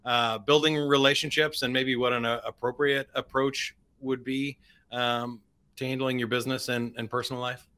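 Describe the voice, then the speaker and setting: A man hosting a podcast interview, asking questions in a relaxed, conversational way. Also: medium pitch, moderate pace, smooth. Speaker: podcast host.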